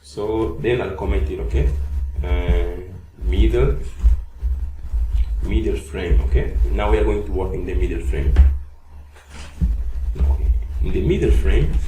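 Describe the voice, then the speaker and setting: A man with a low, soft voice, whose first language is Portuguese, teaching a coding lesson one step at a time. He breaks up his steps with "uh" and asks "okay?" again and again. The room sounds echoey, with a faint hiss underneath. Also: measured pace, pauses often. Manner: narrates each step, frequent "okay?" check-ins and "uh" fillers. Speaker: coding tutor. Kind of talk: screen-recorded coding tutorial.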